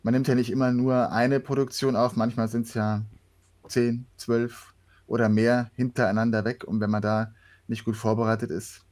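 A man's voice: mid-range voice, flat delivery, moderate pace, a little mellow. A man who works as an actor and voice actor, answering interview questions casually. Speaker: guest, actor and voice actor.

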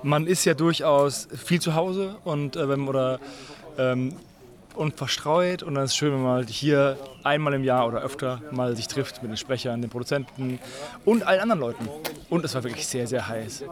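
Medium-pitched man, casual podcaster. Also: normal speed. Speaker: podcaster, interviewee. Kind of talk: casual chat. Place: outdoors at a convention.

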